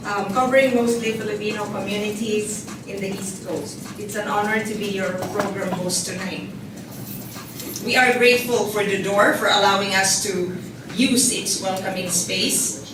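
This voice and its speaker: A woman's voice: mid-range voice, steady tone, normal speed. Woman event host reading formal intros, super echoey room, far from the mic w noise. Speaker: program host and journalist. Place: indoor event space at a book launch. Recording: echoey hall from far off, noisy.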